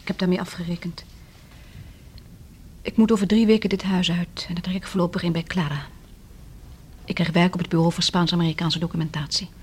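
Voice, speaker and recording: A woman's voice: lowish voice, fairly even intonation, fairly quick, long pauses, a little mellow, a little hiss or hum, natural voice. An actress in a Dutch radio drama playing a poised, guarded divorced lady, formal and composed with a faintly arch courtesy. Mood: cool, hospitable.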